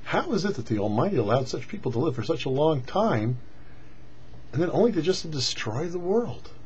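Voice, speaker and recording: A man's voice: on the high side, big pitch swings, brisk, darkish tone. A man presenting religious commentary as a rabbi, teaching didactically in short, emphatic phrases. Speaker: rabbi presenting a weekly Torah portion show. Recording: dull lo-fi audio with faint noise.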